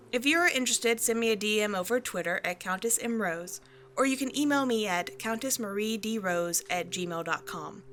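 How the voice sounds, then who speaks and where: A woman's voice: medium pitch, bright, forceful tone, slight background noise. A woman who makes ASMR roleplays, giving upbeat, direct channel announcements. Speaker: ASMR creator.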